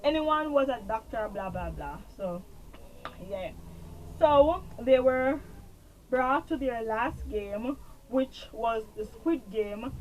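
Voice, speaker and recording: Jamaican woman going over a show, kinda high voice, rambles and repeats stuff. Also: a little mellow, a little hiss or hum, rough recording. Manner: rambling, repeats herself, trails off with "blah, blah". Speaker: TV show reviewer. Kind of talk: casual show recap.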